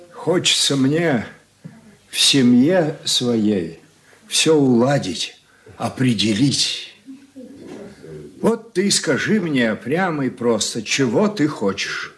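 A man's voice: mid-range voice, lively intonation, takes it slowly, pauses often, a bit bright. An actor playing a stern, wounded old father, head of a merchant family, in a stage-style radio drama, blunt and admonishing. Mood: weary, reproachful.

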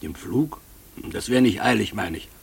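An actor playing a plain, unbothered farmhand in a German radio play, speaking in a matter-of-fact way. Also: male voice, on the low side, big pitch swings, moderate pace, gravelly, husky, heavily acted.

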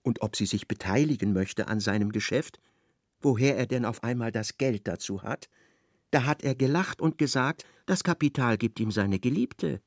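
Animated male narrator, dry and creaky. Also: medium pitch, normal speed, no room sound. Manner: theatrical, retells dialogue in indirect speech. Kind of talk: literary radio play narration.

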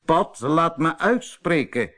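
A man voicing a stern, authoritative male badger in a storybook, commanding and deliberate. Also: on the high side, animated, fairly bright tone, pitched above the performer's own voice. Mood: stern, indignant.

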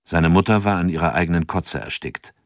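A man narrating a radio drama in a calm, measured, scripted way. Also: low-pitched, no room sound, studio quality.

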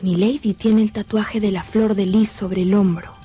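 A woman's voice: mid-range voice, steady tone, normal speed, warm tone. A woman delivering scripted dramatic narration for a radio drama. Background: music.

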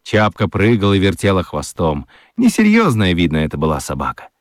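A man narrating a children's radio play as an expressive storyteller. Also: sits low, fairly quick, dry recording, clean studio sound.

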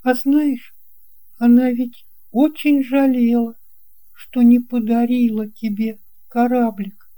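A woman voicing a kind, confident eight-year-old girl with a gentle, reassuring manner in a children's audio storybook. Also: a little high, level tone, slow, pitched above the performer's own voice, poor audio. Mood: helpful, confiding.

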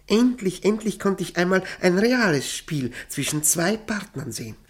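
A man's voice: sits high, very animated, moderate pace, slightly rough, exaggerated voice. A man acting a courteous, nervous former prisoner in a radio play, speaking hesitantly and reflectively with formal politeness. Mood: intense, fascinated. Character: haunted Austrian lawyer, former Gestapo prisoner, courteous and nervous. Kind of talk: German radio play acting, confiding narration.

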